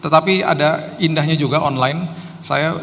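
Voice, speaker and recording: A man's voice: on the high side, normal speed, creaky, a bit bright. A middle-aged man preaches as a guest pastor in a conversational, storytelling way with self-deprecating humour. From Jakarta, Indonesia. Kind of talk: sermon with casual personal storytelling. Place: church hall during live service. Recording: reverberant hall, faint background noise.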